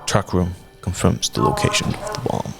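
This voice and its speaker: A young man narrating a radio drama in a measured storytelling way. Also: low-pitched, huge pitch swings, creaky. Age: young adult. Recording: narration over loud music.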